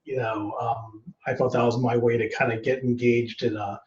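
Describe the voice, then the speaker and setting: A man's voice: medium pitch, moderate pace. Male actor guest, 40s, loose rambly talker with constant fillers; roomy, muffled sound. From United States. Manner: relaxed, rambling, many "you know" and "um" fillers. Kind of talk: casual interview chat.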